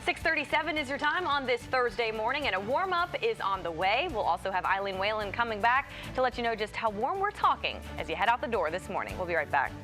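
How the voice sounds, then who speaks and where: A woman's voice: a little high, big pitch swings, quick pace, hardly any pauses. Woman anchoring morning news over background music. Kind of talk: scripted broadcast news reading.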